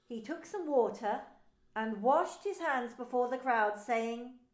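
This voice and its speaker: A woman's voice: fairly high pitch, expressive, slow. A woman minister narrating a dramatised scripture story, telling it expressively. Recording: roomy echo.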